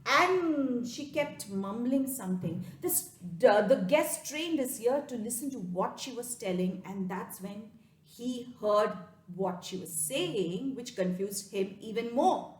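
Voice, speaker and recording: Indian-accented woman, folktale storyteller, unhurried, emphatic pauses, echoey room. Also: on the high side, lively intonation. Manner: dramatic pauses, emphatic repetition, addresses listeners. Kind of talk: expressive oral folktale storytelling for listeners.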